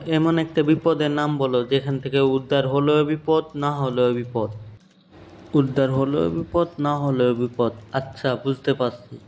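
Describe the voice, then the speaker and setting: A man's voice: mid-range voice, a little mellow, a little hiss or hum, natural voice. A man voicing a character in a comic cartoon interview skit, plain and conversational in manner.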